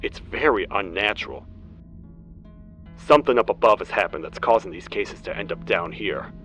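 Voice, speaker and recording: Casting: weary game toad lawman, low-voiced, grave and formal, music underneath. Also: expressive, normal speed, fairly bright tone. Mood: solemn, matter-of-fact. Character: stern, weary male cartoon toad sheriff. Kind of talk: video game character acting, log narration.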